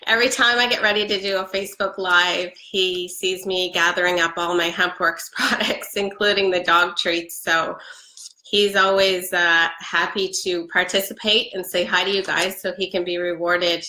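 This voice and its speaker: A woman's voice: on the low side, steady tone, moderate pace, bright, forceful tone. Congested woman in her forties, chatty and upbeat on a webcam live stream, roomy echo. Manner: chatty, upbeat, many ums, laughs often. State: congested from a head cold. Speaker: product seller. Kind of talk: casual live stream chat. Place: living room with a Christmas tree. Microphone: webcam at close range. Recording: echoey room.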